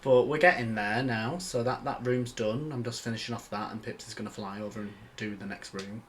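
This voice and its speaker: A man's voice: medium pitch, fairly even intonation, fairly quick, a little hiss or hum. Chatty man with a Yorkshire accent. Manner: chatty, rambling, laughs easily. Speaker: co-host of a building tutorial. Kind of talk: casual chat.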